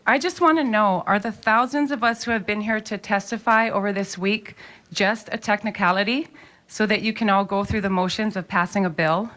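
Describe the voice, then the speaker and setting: A woman's voice: medium pitch, brisk, a bit bright, low-quality recording. Pleading woman testifying at a hearing. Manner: pleading, pointed, urgent. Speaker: member of the public testifying. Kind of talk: formal public testimony.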